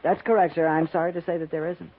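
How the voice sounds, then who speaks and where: An American actor playing an earnest, deferential, by-the-book young army officer in a 1950s radio drama, pedantic and easily flustered. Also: male voice, on the high side, flat delivery, fast, close to an everyday voice. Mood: officious, pedantic. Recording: dull 1950s radio recording.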